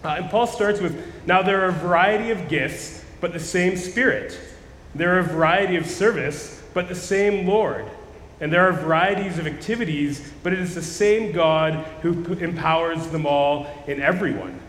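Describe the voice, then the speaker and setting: A man preaching a sermon as pastor, slightly hoarse from losing his voice, in a casual, conversational way with frequent restarts. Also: a little high, moderate pace, fairly bright tone, echoey room, long reverb tail, a little hiss or hum, low-quality recording. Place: church sanctuary.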